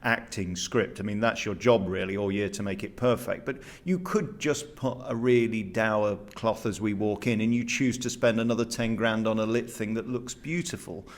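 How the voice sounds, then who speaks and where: Guy interviewer, fairly deep voice, gushing and emphatic, theatre chat. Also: fairly even intonation, normal speed, few pauses.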